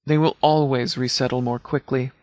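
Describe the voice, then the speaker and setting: An actor playing a stern, principled middle-aged sheriff in a video game, speaking in a formal, grave and deliberate way. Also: male voice, mid-range voice, steady tone, a little mellow, dry recording, studio quality, lightly acted. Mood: grave, matter-of-fact. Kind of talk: scripted video game character dialogue.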